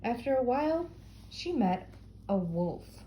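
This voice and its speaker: A woman's voice: a little high, big pitch swings, slow, warm tone, a little hiss or hum, rough recording. An older woman reading a children's story aloud as a storyteller for young listeners.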